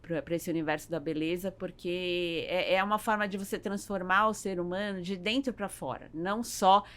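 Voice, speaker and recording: A woman with a Brazilian accent answering as a podcast guest in a conversational, halting way, with repeats and tag questions. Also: on the low side, fairly even intonation, a bit bright. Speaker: podcast guest, salon business owner.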